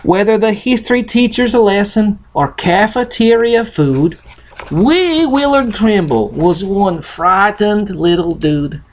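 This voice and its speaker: Russian-accented man reading slowly, with swooping intonation. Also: high-pitched, lively intonation, a little hiss or hum. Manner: non-native grammar, halting, playful delivery. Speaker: host reading a picture book aloud. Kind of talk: storybook reading and casual chat to camera.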